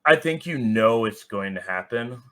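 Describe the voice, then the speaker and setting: A man's voice: medium pitch, expressive, moderate pace, sharp tone. A man chatting casually and bluntly as a podcast guest, peppering his talk with "like" and the odd swear word. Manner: blunt, swearing, heavy use of "like". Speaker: podcast guest, soccer supporters group organizer. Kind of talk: casual, candid podcast conversation.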